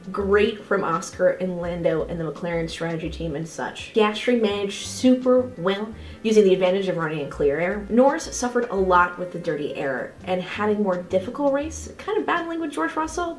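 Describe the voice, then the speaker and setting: A woman hosting a semi-scripted race review, speaking in an informal, opinionated and emphatic way. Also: medium pitch. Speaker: motorsport video creator and host. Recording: roomy echo with faint music behind.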